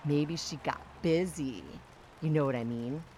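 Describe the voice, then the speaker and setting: A woman's voice: low-pitched, a little slow, dark tone, slight background noise. A woman playing a tipsy, nosy and pushy young neighbour in a comic audio drama, chatty, teasing and insistent. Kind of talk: audio drama comedy acting.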